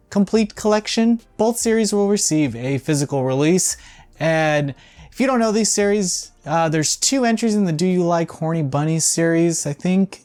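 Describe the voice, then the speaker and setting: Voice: mid-range voice, a little mellow. A video game news host running through releases in a casual style, with wry, offhand asides. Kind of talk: casual news rundown with off-the-cuff commentary.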